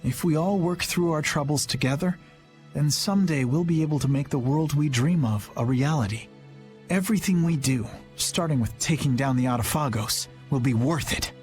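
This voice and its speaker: Principled young knight acted by a man: lighter-pitched, sincere, formal delivery with quiet resolve, game score underneath. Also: normal speed, natural voice. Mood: warm, hopeful. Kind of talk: English-dubbed anime game voice acting. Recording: music under the voice. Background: music.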